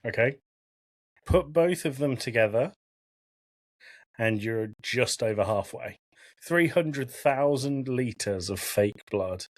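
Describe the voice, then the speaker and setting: A man's voice: mid-range voice, expressive, takes it slowly, pauses often, dry recording. A man hosting a podcast, talking casually and giving his opinions in a conversational way. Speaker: podcast host. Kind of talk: casual discussion of a film.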